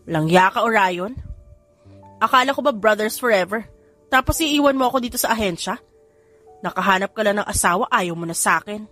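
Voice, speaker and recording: A woman's voice: medium pitch, expressive, fairly quick, pauses often, bright, forceful tone, faint music behind. A woman voicing a mischievous young man in an audio drama, whining and teasing like an aggrieved best friend. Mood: mock-hurt.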